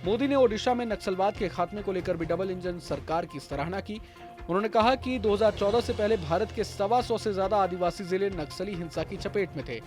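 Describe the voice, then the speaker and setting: Male news voice-over, noticeably high voice, scripted report read over a prominent music bed. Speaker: news narrator. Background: prominent background music.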